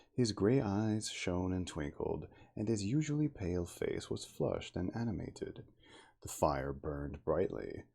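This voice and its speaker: A man reading a classic novel aloud in an ASMR style, with a measured, theatrical storytelling delivery. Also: sits low, creaky, warm tone, slightly rough.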